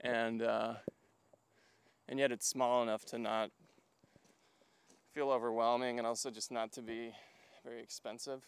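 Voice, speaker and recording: Clear-voiced man from Hungary, rambling casually. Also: mid-range voice, level tone, measured pace, pauses often. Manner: rambling, filler-heavy storytelling with frequent "like". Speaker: podcast guest. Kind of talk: casual chat while walking. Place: outdoors, walking to a lookout.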